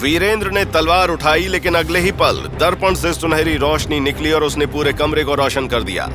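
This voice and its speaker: A man's voice: a little high, normal speed. A man giving dramatic scripted narration of a story. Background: background music.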